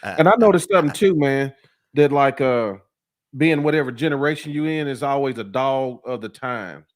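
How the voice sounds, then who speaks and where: A man in his forties with a middle-pitched voice hosts a relaxed interview. He asks questions in an easy, reflective way and lets the talk wander. He keeps his tone conversational. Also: normal speed. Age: 40s.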